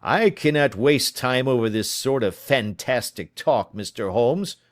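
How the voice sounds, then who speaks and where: A man voicing a shifty middle-aged man caught in a deceit, talking loud and defensive. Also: mid-range voice, animated, unhurried, no echo, clean studio sound, natural voice. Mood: indignant.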